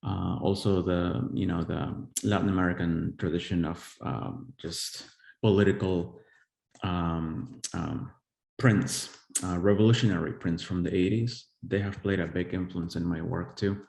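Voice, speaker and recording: Guy in his 40s from el salvador talking about his art, hesitant and halting, lowish voice, roomy echo. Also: steady tone, gravelly, darkish tone. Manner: hesitant, halting, full of pauses and restarts. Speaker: visual artist giving a talk. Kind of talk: live-streamed artist presentation. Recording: echoey room.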